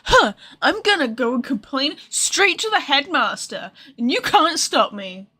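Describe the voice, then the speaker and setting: High, animated woman streaming a game. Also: unhurried. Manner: reacts bluntly to dialogue, sarcastic. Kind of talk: casual live game commentary.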